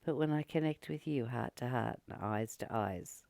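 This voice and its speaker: Woman, spiritual teacher, gravelly fry, close dry sound, earnest and deliberate delivery. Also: very deep voice, a little mellow, dry recording. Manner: earnest, deliberate, with frequent pauses and emphatic repetition. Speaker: spiritual teacher and channel host. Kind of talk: spiritual teaching, reading a text with informal commentary.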